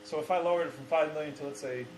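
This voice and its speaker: A man in his twenties chatting casually, answering quickly and pushing back with questions. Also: a little high, darkish tone, roomy sound, a little hiss or hum, rough recording. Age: 20s. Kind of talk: casual chat.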